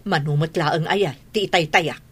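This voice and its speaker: An actress with an Ilocano accent playing a stubborn, defensive gambler who wheedles and pleads in a radio drama. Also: female voice, low voice, normal speed, fairly bright tone, breathy edge.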